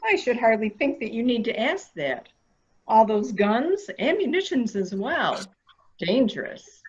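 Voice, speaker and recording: A woman playing an anxious, flustered lady of the household in a dramatic reading, with an agitated, halting delivery. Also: on the high side, very animated, slight background noise. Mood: curt, tense. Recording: dull, lo-fi audio.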